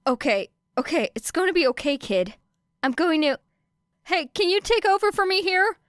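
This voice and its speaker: A woman voicing an awkward male police officer, halting and unsure as he tries to reassure a child. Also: extremely high pitch, sing-song, fairly bright tone, no echo, clean studio sound, voice pushed up, full character voice. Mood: flustered, reassuring.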